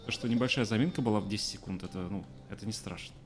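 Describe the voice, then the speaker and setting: A man's voice: on the low side, very fast, slight background noise. A man in his thirties streaming a game, joking with chat and reading the subtitles aloud. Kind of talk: casual let's play commentary. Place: small room at home. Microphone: desk mic, webcam framing. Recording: game music playing behind. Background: game music.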